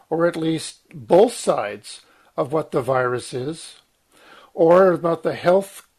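Man in his 60s, husky, croaky, slightly high voice, emphatic, restarts and ums. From Lower Mainland, Canada. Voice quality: husky, clears throat often. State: croaky from medication. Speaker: guest speaker, former journalist. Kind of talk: long spoken commentary, guest talk.

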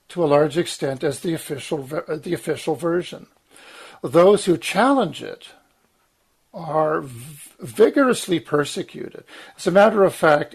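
A man in his sixties, croaky from medication, giving an earnest, emphatic talk with frequent ums, restarts and throat-clearing. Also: on the high side. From Lower Mainland, Canada. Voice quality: husky, clears throat often. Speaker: guest speaker, former journalist. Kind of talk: long spoken commentary, guest talk.

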